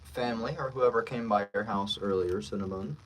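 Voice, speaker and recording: A man's voice: on the low side, fairly quick, fairly bright tone, a little hiss or hum, low-quality recording. A man hosting a casual livestream as a voice actor, joking playfully with the viewers as he talks.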